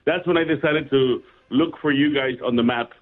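A man's voice: medium pitch, normal speed, narrow, tinny audio. A man from Mexico calling a radio show, chatting casually and joking, laughing easily. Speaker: caller. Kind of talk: casual phone-in chat.

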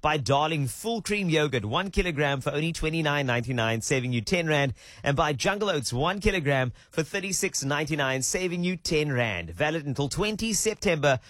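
A man's voice: fairly high pitch, a little fast, few pauses, no room sound, studio quality. Upbeat South African radio man. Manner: energetic, punchy sales delivery. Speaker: radio presenter. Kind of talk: upbeat radio promo read. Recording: music bed behind.

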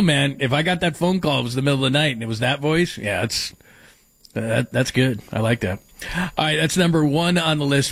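A man's voice: medium pitch, husky, no echo. A man hosting a sports radio show, talking casually and rambling, joking with his co-host and laughing at his own bits.

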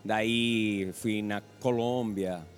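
A man from Venezuela with a Venezuelan Spanish accent, speaking Portuguese as a second language while giving an earnest church testimony in a calm, measured way. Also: on the low side, faint music behind.

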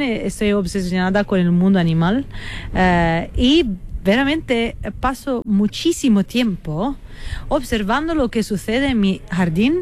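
A woman from Italy speaking Spanish with an Italian accent as a guest musician in a radio interview, earnest and reflective, often pausing and restarting as she searches for words. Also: a little high, a little mellow, a little hiss or hum. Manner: hesitant, searching for words, with many fillers and restarts.

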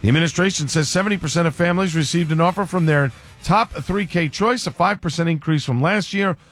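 A man with a slightly high voice reads the local radio news. His delivery is brisk, scripted and even, moving steadily from item to item.

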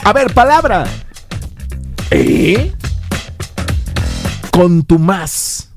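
A man's voice: sits high, huge pitch swings, extremely slow, vocal fry, faint music behind. A man co-hosting a casual show, joking around and reading listener messages and definitions aloud. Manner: playful, jokey, reads definitions aloud. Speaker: podcast co-host.

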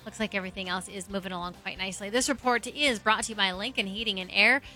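Very bright American woman traffic reporter. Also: mid-range voice, fast talker, soft background music.

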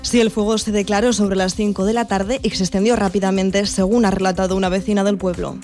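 A woman from reads a scripted radio news report quickly and evenly. Soft music plays faintly underneath her voice. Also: medium pitch. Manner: brisk, even reporter's read.

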